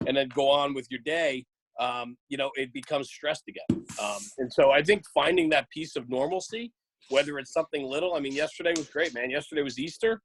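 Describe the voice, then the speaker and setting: A man's voice: on the high side, a little fast, a little hiss or hum, poor audio. A man chatting casually and reflectively as a guest on a podcast.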